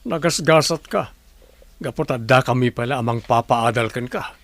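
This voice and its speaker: An actor with a Filipino accent playing a stern but fair middle-aged father in a radio drama, with a gravelly voice. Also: medium pitch, moderate pace, a bit bright, lightly acted. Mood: admonishing.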